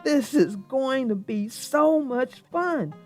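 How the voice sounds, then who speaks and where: Storybook young boy bear, done by a woman narrator: sweet, excitable, high-pitched, exclaiming. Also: takes it slowly, soft background music. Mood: delighted. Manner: eager, exclaiming. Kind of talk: storybook character reading.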